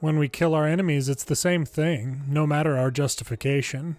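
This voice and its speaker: A man's voice: on the high side, steady tone, fairly quick, darkish tone, no echo. A man narrating a video game story, reading scripted dialogue and text aloud. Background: faint game music.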